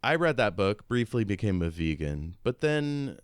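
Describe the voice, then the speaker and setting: Deep, smooth-voiced man in casual discussion. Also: very animated, normal speed, no room sound, clean studio sound. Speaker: podcast co-host.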